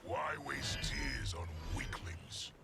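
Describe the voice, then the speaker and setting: Gravelly, ruthless warlord. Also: male voice, medium pitch, animated, slow pace, over music, exaggerated voice. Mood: cold contempt. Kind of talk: cinematic fighting-game voice acting.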